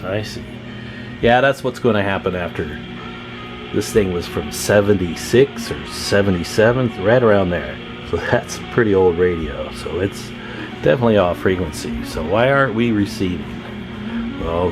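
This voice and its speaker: A man's voice: low voice, expressive, moderate pace, over music. A man talking informally and thinking aloud as he gives a casual hands-on demonstration as a radio repair hobbyist.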